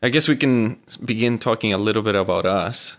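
A man's voice: fairly low pitch, a little fast, fairly bright tone. A man from Venezuela, a native Spanish speaker with a Venezuelan accent, co-hosting a casual podcast over a remote call and laughing easily. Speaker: podcast co-host. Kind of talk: casual chat.

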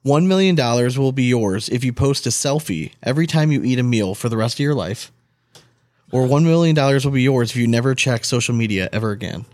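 A man co-hosting a podcast, chatting informally and excitably. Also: medium pitch, fairly quick, no echo. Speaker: podcast co-host. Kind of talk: casual chat, posing questions.

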